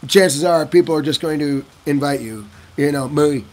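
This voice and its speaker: A man casually answering viewer questions in a vlog, telling stories in an animated way and acting out other people's lines. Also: moderate pace. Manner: animated storyteller who acts out locals' lines in a higher voice. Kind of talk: casual talking-head Q&A.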